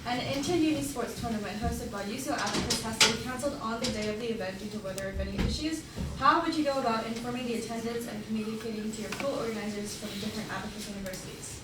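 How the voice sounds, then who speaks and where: A woman interviewing an election candidate, reading out scenario questions in a brisk, procedural way. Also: a little high. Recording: distant mic in an echoey, very noisy room.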